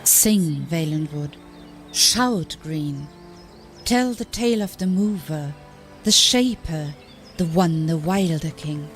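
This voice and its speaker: A woman reading poetic fantasy lore as an audiobook, in a solemn, declamatory and measured manner. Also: deep voice, soft background music. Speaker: audiobook reader.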